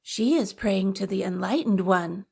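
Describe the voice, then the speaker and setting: A woman playing an excitable, devout old housekeeper with a breathless, exclaiming manner in a dramatic audiobook. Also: medium pitch, measured pace, vocal fry, slightly rough. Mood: admiring. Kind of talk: dramatic audiobook character reading.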